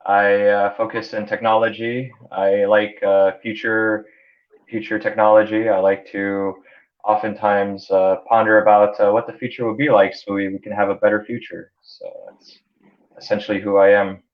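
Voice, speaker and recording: A man's voice: fairly low pitch, little pitch movement, normal speed. A man in his thirties, a Hungarian native speaker raised in the United States, talks about his life in a casual interview, stumbling over repeated words and fillers. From born in Canada, grew up in the United States. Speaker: interview guest, entrepreneur. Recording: dull lo-fi audio.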